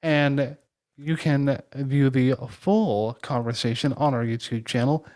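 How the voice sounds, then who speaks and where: Woman, extremely low pitch, casual podcast host, conversational delivery. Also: steady tone, slow, mellow.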